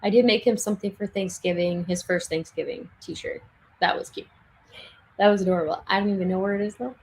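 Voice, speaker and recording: A young woman chatting casually on a livestream from her home craft room, laughing often and restarting sentences, her voice getting hoarse. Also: medium pitch, steady tone, brisk, low-quality recording. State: losing her voice. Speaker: livestream speaker.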